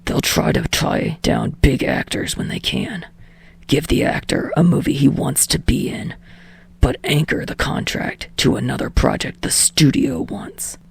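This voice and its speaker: Brief: woman doing a husky, gritted-teeth young tough guy, low and grumbling. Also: huge pitch swings, unhurried, dry recording, full character voice. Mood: brooding. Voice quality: low, hushed and gravelly. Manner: growls and curses through clenched teeth. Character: gruff, brooding young male actor, sweary. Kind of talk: podfic reading.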